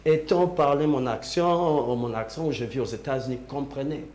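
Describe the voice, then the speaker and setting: A man's voice: mid-range voice, moderate pace, a little mellow. A man from DR Congo with a Congolese accent, speaking personally and insistently as a panelist in a political debate, often repeating his phrases. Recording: very echoey room with faint hum.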